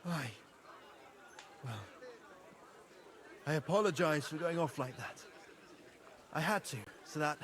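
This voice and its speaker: An actor playing a proud young medieval nobleman in a video game, brisk, direct and confident. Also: fairly high pitch, fairly bright tone, a faint crowd. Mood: reflective, apologetic. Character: proud young medieval nobleman, younger brother, hot-headed but loyal. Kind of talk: cinematic video game dialogue.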